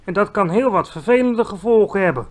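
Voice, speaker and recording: A man voicing a polite, patient young girl in a storybook reading, asking questions calmly. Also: sits high, brisk, voice pushed up.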